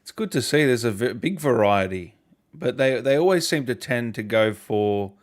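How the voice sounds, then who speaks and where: A man's voice: mid-range voice, expressive, moderate pace. A man hosting a gaming interview in an easygoing way, saying "I reckon" and asking follow-ups. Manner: easygoing, says "I reckon", asks follow-up questions. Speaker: gaming channel host. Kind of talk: casual interview chat.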